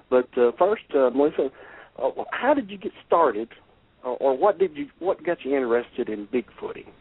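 A middle-aged man with a Kentucky accent hosting a radio show in a folksy, halting way. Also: mid-range voice, expressive, breathy edge, no room sound. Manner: folksy, halting, laughs often. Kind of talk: casual radio interview. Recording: tinny phone-line radio audio.

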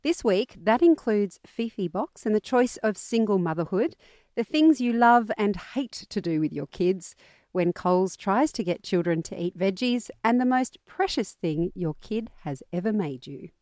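A woman's voice: mid-range voice, lively intonation, moderate pace, a little mellow, dry recording, clean studio sound. A woman in her forties with an Australian accent, hosting a parenting panel chat. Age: 40s. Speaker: podcast host.

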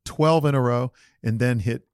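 A male podcast co-host in casual, animated sports chat, slangy and opinionated, on a close mic. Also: medium pitch, normal speed, clean tone, dry recording.

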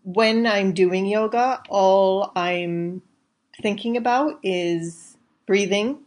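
A woman in her thirties from Chicago, a guest giving calm, measured interview answers. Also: mid-range voice. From Chicago, USA. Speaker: guest, accountant and yoga teacher.

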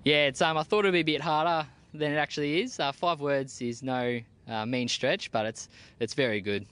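A young Australian man, a soldier, answering interview questions outdoors in a relaxed, plain-spoken way with army jargon and frequent "uh" fillers. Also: a little high, moderate pace, smooth. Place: outdoors at a military complex.

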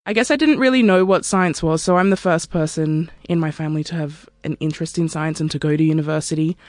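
A woman's voice: sits low, fast, darkish tone, no echo, clean studio sound. A woman, a research scientist speaking candidly and reflectively about her work in an interview. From Australia. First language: Turkish. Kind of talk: interview monologue.

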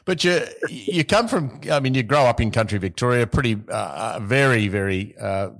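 A man, hosting an interview podcast in a measured, friendly way. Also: medium pitch, expressive, a bit bright. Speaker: podcast host.

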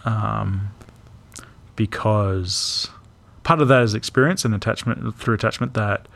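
A young man with a low, gravelly voice talks slowly in a casual podcast chat. He muses aloud, pausing and restarting his thoughts. His speech is thoughtful and searching. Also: fairly bright tone. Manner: thoughtful, hesitant, many ums and restarts. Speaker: podcast co-host.

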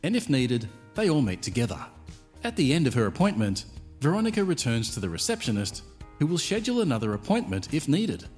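A man's voice: medium pitch, big pitch swings, fairly quick, darkish tone. A man narrating a scripted explainer video in short, tidy phrases. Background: music.

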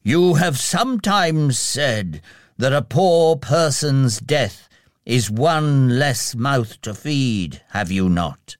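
Man acting a slow, solemn ghostly spirit. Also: mid-range voice, clear, resonant tone, dry recording, studio quality, lightly acted. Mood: stern. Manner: slow, grave pronouncements. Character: solemn, stern, sorrowful male ghostly spirit.

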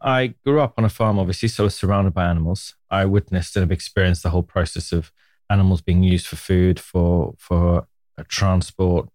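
A man in his forties, born and raised in Zimbabwe, tells his story as a podcast guest with low, unhurried warmth. He sounds candid and reflective and laughs easily between memories. His voice is close and dry, with no room sound around it. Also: fairly even intonation, dry recording. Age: 40s. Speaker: podcast guest, media co-founder. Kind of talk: relaxed interview storytelling.

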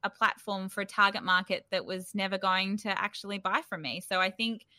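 Interview guest, woman. Forward, bright tone, very dry close sound, informal and encouraging. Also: mid-range voice, steady tone, brisk, no room sound. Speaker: startup founder, interview guest. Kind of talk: casual conversational interview.

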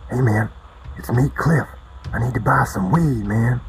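A man's voice: mid-range voice, unhurried, a little hiss or hum, lightly acted, rough recording. A man playing a reckless, foul-mouthed stoner in an audio drama, talking in loose slang. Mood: cautious. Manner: slangy, sweary, colloquial. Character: reckless, foul-mouthed redheaded stoner guy stuck in quarantine. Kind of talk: audio drama dialogue over hand-held radio.

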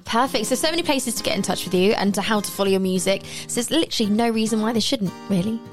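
Woman radio host, chatty, laughs a lot, slightly hoarse, faint music under. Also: medium pitch, quick pace. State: slightly losing her voice. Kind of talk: casual radio interview.

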